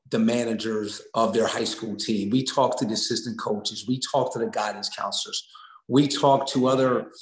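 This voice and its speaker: A middle-aged American man, a coach giving earnest, encouraging answers in a conversational interview over a video call. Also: mid-range voice. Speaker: interview guest, assistant basketball coach. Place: small indoor room on a video call. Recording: roomy, echoing room sound.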